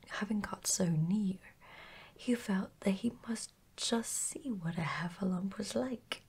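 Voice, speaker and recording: A woman reading a children's bedtime story in a hushed, gentle, unhurried ASMR whisper. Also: mid-range voice, slight background noise.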